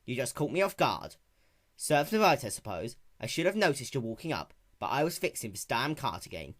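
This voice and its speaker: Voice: medium pitch, very animated, fairly quick. A performer voicing a plain-spoken, hardworking young country mare with an earnest, folksy rural drawl. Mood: easygoing.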